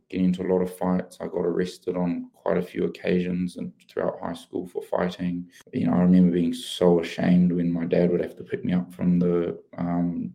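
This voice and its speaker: Deep gravelly New man. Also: dark tone, low-quality recording. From New Zealand. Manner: earnest, open, many restarts and fillers. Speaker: podcast guest, former pro rugby player. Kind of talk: reflective interview storytelling.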